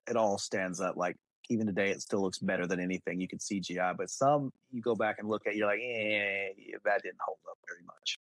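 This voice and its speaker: A man's voice: on the low side, steady tone, fairly quick, hardly any pauses, fairly bright tone, no echo, low-quality recording. A man co-hosting a podcast in casual, conversational chat. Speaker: podcast co-host.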